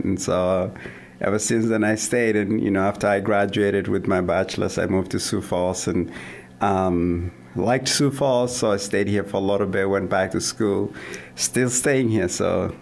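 Low gravelly Kenyan man. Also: darkish tone. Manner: hesitant, many fillers and restarts, laughs easily. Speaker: guest, running coach and athlete. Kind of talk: casual interview conversation.

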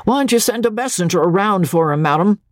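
A woman's voice: medium pitch, lively intonation, fast, no room sound, studio quality, voice pushed up, natural voice. A woman voicing a testy, hard-of-hearing old hotel clerk with a wheezing voice. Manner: wheezing, cackling.

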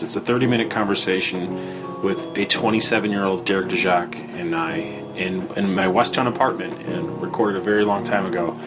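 An American man giving an informal, subdued and plain-spoken podcast introduction as its host. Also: low voice.

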